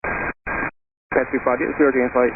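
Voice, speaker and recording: A man's voice: mid-range voice, wildly swooping pitch, a little slow. A man working as an air traffic controller, giving clipped instructions over a radio transmission. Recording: crackly narrow-band air traffic radio, heavy noise.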